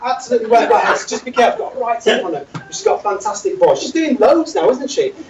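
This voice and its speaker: A man's voice: very high voice, animated, quick pace, darkish tone. A man playing a gossipy, teasing manager who laughs through his lines. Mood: joking. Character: showy joking male boss, gossipy and cheeky. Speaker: health and safety manager. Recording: roomy, distant, dull, noisy hall.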